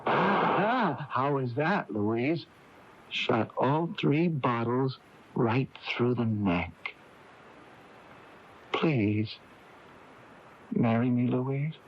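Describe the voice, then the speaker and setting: An odd, withdrawn young man in a radio mystery. He speaks slowly, stammers and halts, and carries an unsettling lovesick intensity. The recording is dull and noisy, like an old off-air tape. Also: medium pitch. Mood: eerily calm menace. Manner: halting, stammering. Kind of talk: radio mystery drama acting.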